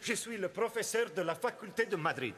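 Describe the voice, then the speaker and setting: Casting: proud cartoon professor, high voice, formal and declamatory, long reverb. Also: male voice, expressive, a little fast, a bit bright. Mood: outraged. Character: proud, outraged male medical professor, loyal to colleagues. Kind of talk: French animated series dub acting. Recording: long hall reverb, faint music.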